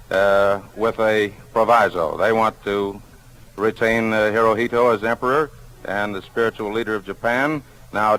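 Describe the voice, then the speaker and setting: A man working as a 1940s radio street interviewer, deliberate, repeating answers back as he questions passers-by. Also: lowish voice, a bit bright, rough recording. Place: outdoors on a downtown street. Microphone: broadcast street microphone.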